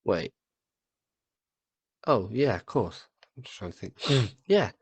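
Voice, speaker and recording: A man's voice: fairly low pitch, very animated, takes it slowly, husky, dry recording. A man with a cold, co-hosting a podcast in casual chat, swearing and tripping over his words. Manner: rambling, swears, stumbles and repeats words. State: has a cold. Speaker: podcast co-host.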